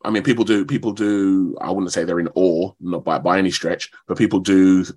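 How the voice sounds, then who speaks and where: A man, talking as a guest in a radio interview in a modest, measured, slightly halting way. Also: deep voice, fairly even intonation, a little mellow, husky. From London, England. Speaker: actor, radio show guest.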